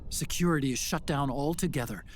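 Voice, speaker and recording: A fairly high-pitched American male tech expert from a video game, played by an actor, chatty and helpful. He explains things in a friendly way with a light teasing edge, over some background noise. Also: a little fast, close to an everyday voice. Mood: helpful, explaining.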